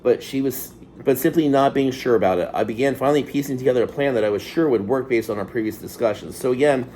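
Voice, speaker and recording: A man's voice: mid-range voice, quick pace, darkish tone, a little hiss or hum. A man co-hosting, reading a letter aloud and adding brief casual comments. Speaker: co-host.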